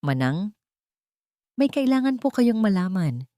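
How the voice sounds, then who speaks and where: A woman's voice: medium pitch, very animated, a little slow, mellow, dry recording, clean studio sound, pitched above the performer's own voice. A woman voicing a respectful young housemaid in an audio drama, pleading, urgent and determined. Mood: grave.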